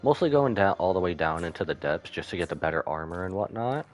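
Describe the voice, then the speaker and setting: A man's voice: deep voice, animated, fast. A man giving casual live commentary as a game streamer, with slangy, offhand reactions.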